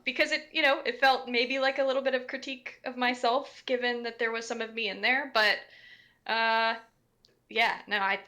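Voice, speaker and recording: A woman's voice: on the high side, sharp tone. A woman voice actor chatting in a group commentary, reflective, feeling out her phrases as she goes. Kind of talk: casual group commentary discussion.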